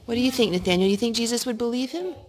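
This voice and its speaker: A woman reading a picture book aloud to a child in an expressive way, pausing to ask questions. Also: on the high side. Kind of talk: storytime read-aloud. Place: living room indoors at a couch.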